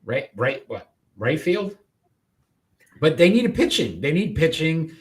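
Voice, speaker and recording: A man's voice: on the high side, sing-song, unhurried. A man with a Rhode Island accent hosting a live sports talk show, chatting casually and often restarting his sentences.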